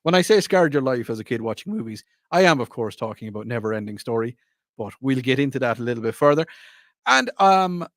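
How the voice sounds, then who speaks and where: A man hosts a radio chat show in a playful, rambling, teasing way. His voice sits at a middle pitch. The studio sound is dry and close. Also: expressive, brisk, no echo. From Ireland. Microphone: studio microphone.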